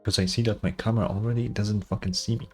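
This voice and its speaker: A man's voice: lowish voice, steady tone, fast. A man livestreaming a video game, commenting casually and reading story text aloud. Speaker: game streamer. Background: game music.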